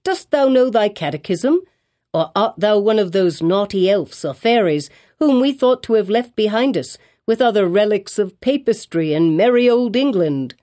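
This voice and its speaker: A woman's voice: mid-range voice, animated, moderate pace, warm tone, natural voice. A woman voicing a kindly, fussy old male minister with quaint archaic speech. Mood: curious.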